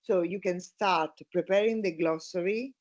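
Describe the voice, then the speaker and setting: A woman from Italy, a native Italian speaker with an Italian accent, speaking English as a guest in a casual interview, halting, with many fillers and restarts. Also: lowish voice, darkish tone, dull audio.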